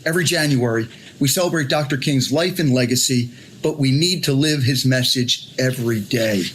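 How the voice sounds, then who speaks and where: A man's voice: medium pitch, moderate pace. A man delivering a prepared public greeting in the style of an official address. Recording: faint background noise.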